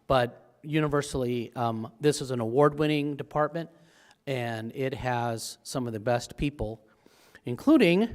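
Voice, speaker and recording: A man organizing a civic class, introducing the next speaker in a slightly raised voice, loose and full of praise. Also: on the high side. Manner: casual, warmly praising, with many fillers.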